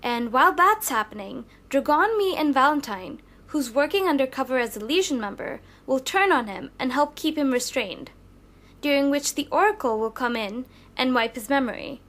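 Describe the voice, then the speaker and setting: A woman's voice: high-pitched, expressive, moderate pace, a bit bright. A woman playing a cautious, protective young superheroine in an audio drama, with an emphatic, earnest delivery. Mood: resolute.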